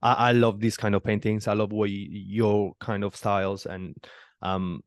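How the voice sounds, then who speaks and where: A man's voice: on the low side, flat delivery, moderate pace, dry recording. Hesitant man hosting a podcast. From southern Italy. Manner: hesitant, many fillers and restarts. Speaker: podcast host. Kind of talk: casual interview chat.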